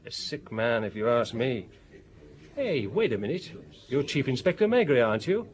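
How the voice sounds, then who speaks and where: A man's voice: mid-range voice, sing-song, moderate pace, crowd noise, natural voice. A man playing a nosy, opinionated bar keeper in an audio drama, with a blunt, clipped, gossipy way of talking. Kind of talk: radio drama acting.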